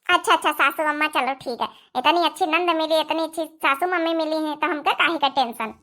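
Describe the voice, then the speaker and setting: A woman voicing an Indian village family woman in a cartoon comedy drama, with an Awadhi accent and expressive rustic speech. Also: high-pitched, fairly quick. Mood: cheerful, accommodating. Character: village women of an Indian family: mothers-in-law and young daughter-in-law.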